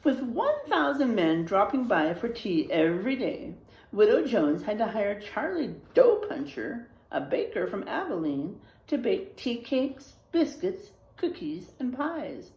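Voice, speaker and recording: A woman reading a children's story aloud in a dramatic, animated narrating style. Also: mid-range voice, measured pace. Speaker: storybook reader. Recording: roomy, echoing sound.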